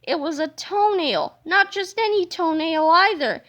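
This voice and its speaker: A woman reading a children's book aloud with an expressive storytelling delivery. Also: very high-pitched, unhurried. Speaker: reader.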